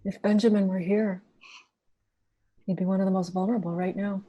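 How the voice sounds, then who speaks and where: An actress plays a plain-spoken, reflective woman among friends. Her voice is medium in pitch, with natural conversational phrasing. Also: level tone, brisk, highs cut off, lightly acted.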